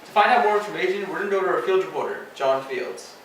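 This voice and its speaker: A man's voice: sits high, fast talker, a bit bright. A young man working as reporter and interviewer in a scripted newscast parody. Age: young adult. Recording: very echoey room, distant, some noise.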